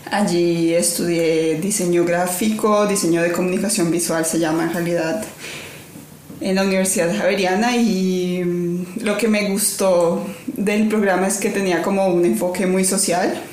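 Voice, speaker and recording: Woman, lowish voice, echoey room. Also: steady tone, normal speed, a little mellow, a little hiss or hum. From Colombia. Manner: thoughtful, many fillers and restarts, laughs easily. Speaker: guest, designer. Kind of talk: conversational interview.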